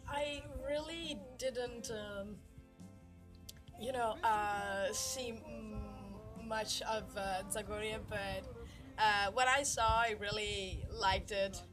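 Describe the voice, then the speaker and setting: Slow-talking young woman. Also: medium pitch, fairly even intonation. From Italy. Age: 20s. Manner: hesitant, searching for words. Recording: music playing behind.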